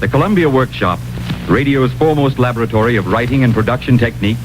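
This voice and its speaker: A man's voice: mid-range voice, fast, low-quality recording. A man making a formal 1930s radio announcement. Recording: vintage radio recording, music behind.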